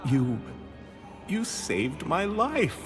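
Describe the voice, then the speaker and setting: A man's voice: fairly high pitch, sing-song, drawn-out words, full character voice. A man playing an eager, boastful hanger-on in a video game, earnest and dramatic in delivery. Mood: dazed, earnest. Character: eager, boastful male hanger-on, hero-worshipping. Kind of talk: game dialogue acting. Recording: reverberant, music behind.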